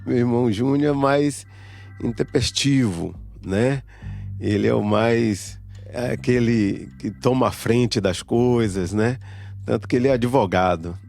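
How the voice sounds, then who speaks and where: A man gives a thoughtful interview in a slow, reflective way. His voice has a gravelly, creaky texture. Faint music plays under him. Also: mid-range voice, a little mellow. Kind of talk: casual interview.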